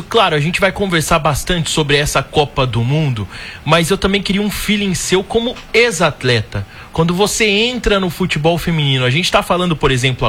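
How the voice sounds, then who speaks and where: A man's voice: a little high, fairly bright tone, slight background noise. A man hosting an interview show, greeting his guest and asking long, winding questions in a friendly way. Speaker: show host.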